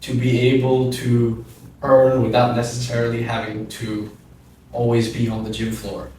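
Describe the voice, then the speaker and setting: A man in his late thirties talks things through at an even pace on a podcast, keeping his pitch fairly flat. He sits back from the microphone in a very echoey room, with a faint hiss behind him. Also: normal speed, far from the mic. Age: 30s. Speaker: gym co-founder. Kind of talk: podcast conversation. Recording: roomy echo, off-mic, faint noise.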